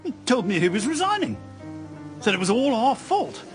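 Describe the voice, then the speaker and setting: High, swooping, exasperated party official. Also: male voice, very animated, moderate pace, soft background music. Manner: agitated, clipped, complaining. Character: exasperated, indignant local Conservative party man. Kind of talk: radio drama acting.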